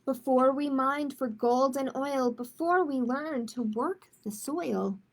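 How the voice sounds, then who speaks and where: A woman speaking as a children's storytime host, in a measured manner suited to picture books and simple chat with families. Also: high voice. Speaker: children's librarian hosting storytime. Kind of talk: picture book read-aloud, then casual announcements.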